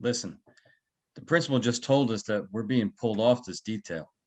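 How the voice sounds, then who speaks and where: A man's voice: on the low side, normal speed, mellow, close to an everyday voice. A man playing a conscientious, puzzled security guard in a screenplay table read, plain and hesitant. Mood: concerned, confiding.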